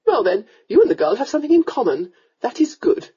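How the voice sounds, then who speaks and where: A woman's voice: very animated, brisk, dark tone, breathy edge, lo-fi sound, heavily acted. A woman voicing a fussy, domineering countess scolding her daughter in a storybook reading. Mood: commanding. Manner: scolding, shrill, melodramatic.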